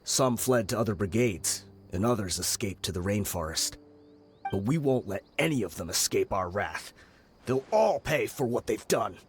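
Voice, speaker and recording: A man's voice: fairly low pitch, expressive, moderate pace, a little hiss or hum. An actor playing a weary male mercenary leader who speaks in a brooding, confessional way. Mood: vengeful.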